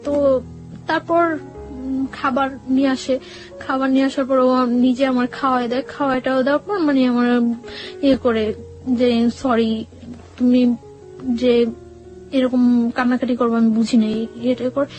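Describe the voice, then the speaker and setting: A young woman with a high voice and a Bangladeshi accent recounts a personal story as an interview guest. She speaks haltingly, circling back over her words, with music playing behind her. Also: flat delivery, a little mellow, rough recording. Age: young adult. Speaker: guest telling own life story. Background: background music.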